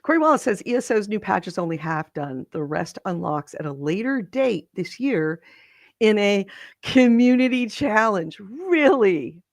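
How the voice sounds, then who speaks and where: A slightly hoarse woman co-hosting a podcast, chatting in a rambling, self-interrupting way. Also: mid-range voice, big pitch swings, a little slow, no room sound. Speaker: podcast co-host. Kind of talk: casual chat.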